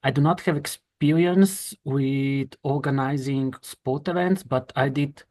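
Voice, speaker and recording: A man's voice: mid-range voice, measured pace, darkish tone, no room sound. A man from Slovenia, introducing himself plainly.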